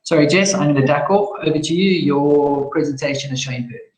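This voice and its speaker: A man hosting an online event, handing over to a speaker in a halting way with many uhs and ums. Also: on the high side, a little mellow. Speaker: event host. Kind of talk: casual event moderation. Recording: echoey room.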